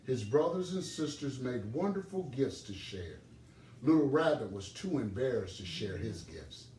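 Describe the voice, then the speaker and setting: Slow, animated male storyteller in a roomy space. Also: mid-range voice. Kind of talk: expressive children's storybook reading. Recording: roomy, distant microphone, background noise.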